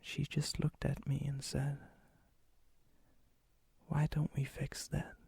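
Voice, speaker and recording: A man playing a lonely, gentle, melancholy vampire in an ASMR roleplay, speaking softly and hesitantly, often near a whisper. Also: medium pitch, completely flat delivery, vocal fry, husky, dry recording, heavily acted. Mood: wistful, sorrowful. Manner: hesitant, wistful, halting. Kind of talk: ASMR roleplay, intimate acted monologue.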